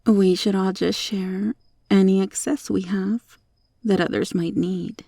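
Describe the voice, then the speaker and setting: Slow, creaky monster roach girl, acted by a woman. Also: medium pitch, steady tone, dark tone, dry recording, clean studio sound. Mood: puzzled, haughty. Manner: slow, coy, prim formal phrasing. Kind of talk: ASMR roleplay.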